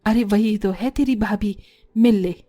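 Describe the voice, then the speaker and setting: A woman's voice: medium pitch, measured pace, dark tone, faint music behind, natural voice. A woman voicing an older mother-in-law, authoritative and doting on her daughter, in a cartoon family drama.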